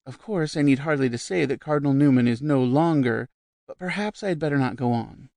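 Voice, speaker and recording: Shrill gushing society lady, as a man puts her on. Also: a little fast, a little mellow, no echo, lightly acted. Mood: confiding. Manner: vivacious, shrill and effusive. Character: gushing middle-aged female society spiritualist.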